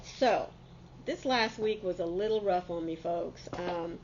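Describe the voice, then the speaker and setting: A woman's voice: fairly low pitch, unhurried, darkish tone, muffled recording, a little hiss or hum. Folksy congested woman chatting. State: congested from a summer cold. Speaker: crochet channel host. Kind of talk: casual chat to camera.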